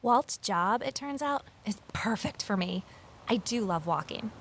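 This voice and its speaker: An actress playing a curious, eager former journalist in an audio drama, with a conversational, inquisitive delivery. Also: female voice, a little high, expressive, a little slow, a bit bright. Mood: content, reflective. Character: curious female ex-journalist, eager new conservation worker. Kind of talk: audio drama dialogue and first-person narration. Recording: clear background noise, outdoor ambience.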